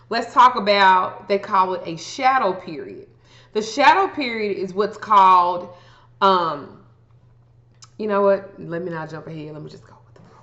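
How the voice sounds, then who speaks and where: A woman talks to her viewers like a casual explainer, blunt and sweary, tossing in joking asides. Her voice sits at a medium pitch. The recording is muffled and carries a clear room echo. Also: a bit bright. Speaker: astrology vlogger giving tips. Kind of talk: casual explainer chat to camera.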